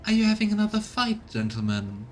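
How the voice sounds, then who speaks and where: A man's voice: big pitch swings, a little fast. A man voicing an arrogant female inquisition agent in a video game, haughty, formal and condescending. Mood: haughty, mocking. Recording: rumbly background noise.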